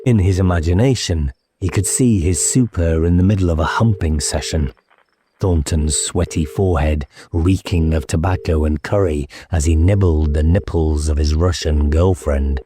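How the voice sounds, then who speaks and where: A male audiobook narrator reads horror fiction deliberately and with suspense. His voice is deep, soft and dark in tone. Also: slight background noise. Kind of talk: scripted dramatic horror narration.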